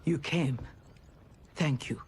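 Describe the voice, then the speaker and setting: A woman's voice: deep voice, slow pace, darkish tone, a little hiss or hum. An actress playing an earnest, humble warrior woman in a video game, sincere and formal in her careful speech. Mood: hesitant, humble. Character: earnest, humble female warrior seeking acceptance. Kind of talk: cinematic video game dialogue and narration. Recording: music behind the voice.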